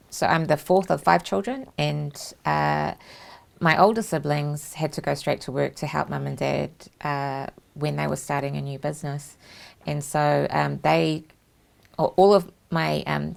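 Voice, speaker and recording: A woman with a New Zealand accent hosts a casual studio talk show. Her voice sits low and carries a heavy gravelly fry, and she speaks reflectively, pausing often on fillers as she recalls her upbringing. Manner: warm reflective storytelling with many fillers. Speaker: host. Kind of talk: casual interview chat.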